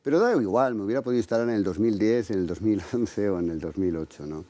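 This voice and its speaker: A man from Galicia answering questions in a TV interview, measured, often ending points with a questioning tag. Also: on the low side, animated, mellow. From Galicia, Spain. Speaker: interviewed candidate.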